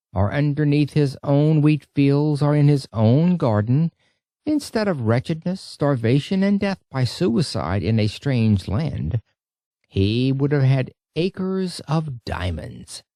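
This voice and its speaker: A man narrating an audiobook in a dramatic, expressive storytelling style. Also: fairly high pitch, no room sound, studio quality.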